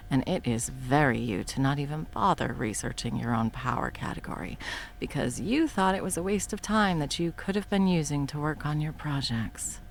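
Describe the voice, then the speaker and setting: A woman's voice: very deep voice, gravelly, heavily acted. A woman in her thirties voicing a profane, blunt woman supervillain in an audio roleplay, mocking at first and then coaxing with pet names. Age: 30s. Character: sharp-tongued woman supervillain, long-time arch-enemy.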